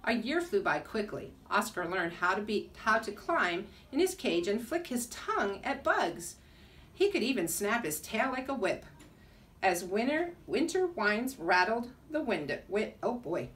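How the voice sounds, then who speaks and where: A middle-aged woman teacher reading a children's storybook aloud in an expressive way. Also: medium pitch, a little slow. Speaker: second grade teacher reading a bedtime story. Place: small school room, indoors. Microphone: camera a few feet away. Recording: distant mic in a room.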